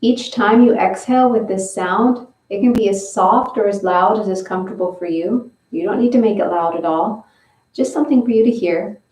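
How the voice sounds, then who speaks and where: A woman leading a guided breathing and stretching session, giving slow, gentle step-by-step instructions. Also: medium pitch, far from the mic. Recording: roomy, from away from the mic.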